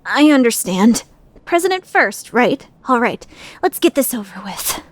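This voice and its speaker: A woman's voice: fairly high pitch, very animated, unhurried. A woman playing a proud, naive, refined teenage girl in an anime fan dub, prim and self-satisfied in her delivery. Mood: brisk, composed.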